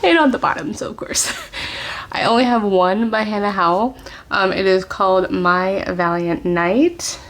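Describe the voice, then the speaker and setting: A woman chatting casually to camera as a book vlogger at home, with soft laughs and whispered asides. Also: mid-range voice, expressive, unhurried. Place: at home, family in another room.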